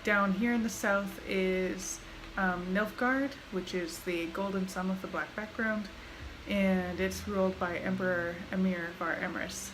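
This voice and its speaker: Female gaming streamer, mid pitch, casual and explanatory, loud game ambience in background. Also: fairly even intonation, unhurried, noisy background. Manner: informal, explanatory. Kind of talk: casual playthrough commentary.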